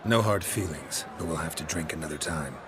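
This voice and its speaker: The very low, terse, deadpan monster slayer you would hear sizing up a contract in a fantasy game's back room. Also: male voice, fairly quick, background noise. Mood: dry, firm. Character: gruff, dry-witted male monster hunter for hire. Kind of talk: video game acting.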